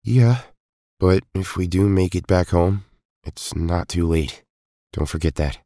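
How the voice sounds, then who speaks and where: A man acting in an audio drama as an earnest, weary young resistance fighter, speaking in a grave, measured way. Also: deep voice, dark tone, no echo, studio quality. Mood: earnest, reassuring.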